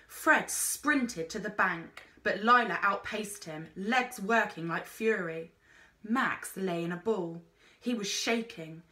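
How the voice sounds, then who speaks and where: A woman reading a children's story aloud in an expressive narrating style, like a teacher. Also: medium pitch, roomy sound.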